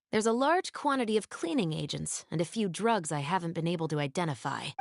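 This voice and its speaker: A keen young lady cop in a game, lowish voice, confident n analytical, dry close sound. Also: darkish tone, dry recording. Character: brisk, keen young female Special Patrol officer investigating a case. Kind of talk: scripted video game voice acting.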